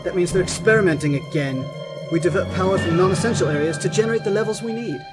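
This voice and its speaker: A man's voice: high voice, fast, natural voice. A man in an audio drama playing an earnest, helpful station scientist. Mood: earnest, hurried. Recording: loud music over the voice.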